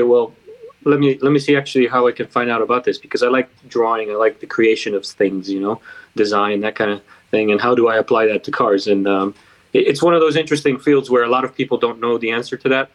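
A man from Bulgaria who moved to the United States speaks as a designer being interviewed. His voice is mid-pitched, and he explains things in a casual, reflective storytelling way. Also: poor audio. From Bulgaria, later United States. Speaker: car designer, interview guest.

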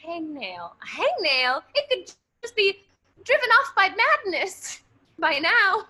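Rambunctious lady detective, played by a woman: very high, bright voice, eager and insistent. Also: very animated, slow, full character voice. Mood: earnest, eager. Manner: eager, insistent, over-earnest. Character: rambunctious earnest woman amateur detective obsessed with kicking. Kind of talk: improvised radio-play parody.

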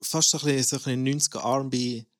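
Man hosting, quick and conversational, mid pitch. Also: flat delivery, background noise. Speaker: podcast host. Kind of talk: casual interview.

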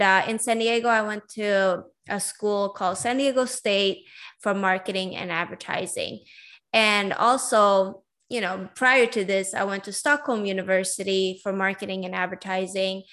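A woman's voice: mid-range voice, fairly even intonation, measured pace, a bit bright. Chatty woman telling her story. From Iran, raised in Sweden. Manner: chatty, many fillers and asides. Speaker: podcast host. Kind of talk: casual personal storytelling.

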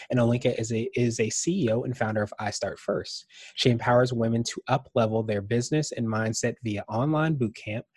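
Male podcast host, enthusiastic, slightly husky voice, dry close sound. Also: medium pitch, a little mellow, no echo. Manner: upbeat, enthusiastic greetings. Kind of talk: podcast interview.

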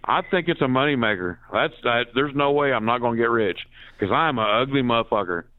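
A man's voice: medium pitch, lively intonation, a little fast, clean tone, no echo. Man, from the midwest, crude joking, profane, phone-line sound. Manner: brash, profane, mock-sultry. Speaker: guest prank caller. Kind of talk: crude prank call banter. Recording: tinny phone-line audio.

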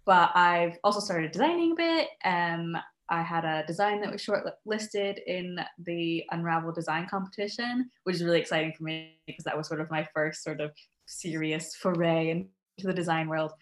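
A woman's voice: fairly low pitch, normal speed, roomy sound. A young American woman chatting as a podcast guest, friendly and talkative, with frequent ums and little laughs. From United States. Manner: chatty, laughs often, many ums and restarts. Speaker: podcast guest, crochet designer. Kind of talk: casual interview chat.

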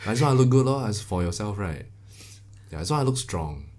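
A man's voice: fairly low pitch, very animated, fast talker, a little mellow. A man in his thirties with a Singaporean accent, chatting casually in a colloquial way and swearing freely. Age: 30s. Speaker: podcast guest. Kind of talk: casual chat.

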